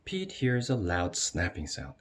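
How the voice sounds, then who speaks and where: A man reading a children's picture book aloud as an expressive storyteller. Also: low-pitched, unhurried, a little hiss or hum. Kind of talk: expressive read-aloud narration.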